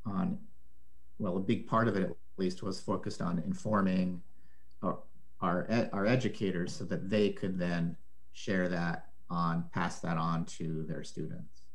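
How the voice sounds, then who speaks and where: A man's voice: low voice, little pitch movement, a little slow. A man hosting an interview, asking questions in a thoughtful, hesitant way with repeated words. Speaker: interviewer, host. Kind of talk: conversational interview.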